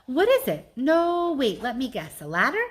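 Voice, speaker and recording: A woman reader acts out a scrappy male stray dog from a storybook. He is grumpy and sarcastic, lifting his voice high and pushing it louder in exasperated wisecracks. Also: wildly swooping pitch, normal speed, full character voice. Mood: puzzled.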